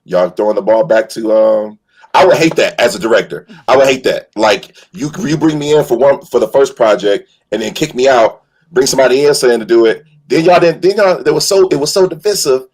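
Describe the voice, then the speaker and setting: Animated, raspy man arguing his opinions. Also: medium pitch, a little fast, poor audio. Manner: repeats and restarts phrases emphatically; colloquial, opinionated. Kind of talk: casual panel chat about films.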